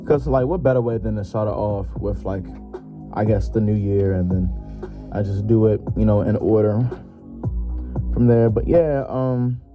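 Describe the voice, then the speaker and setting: Young male podcaster, 21, low voice, rambling slangy solo chat, muffled recording over loud music. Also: moderate pace. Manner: loose, joking, slangy with lots of fillers. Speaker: podcast host. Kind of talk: casual solo podcast monologue.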